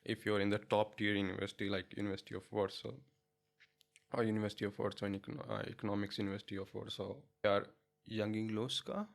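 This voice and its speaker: Guest interviewee: young Indian man, Indian accent, low-ish voice, halting and friendly. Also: monotone, vocal fry. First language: not English. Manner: hesitant, many fillers and restarts, laughs easily. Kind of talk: casual interview chat.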